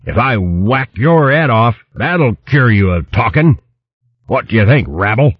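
A man voicing a massive, bullying male hedgehog chieftain in a storybook, with booming, stern, rough rustic speech. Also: animated, takes it slowly, no room sound, lo-fi sound, exaggerated voice. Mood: furious bluster.

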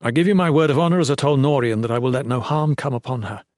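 A male narrator plays an anguished young protector from a fantasy audiobook. He speaks in formal, courtly phrases and begs with contrite urgency, his pitch lifted and the sound dry and close. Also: fast, a little mellow, no echo, close to an everyday voice. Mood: urgent pleading. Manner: formal, archaic courtly wording.

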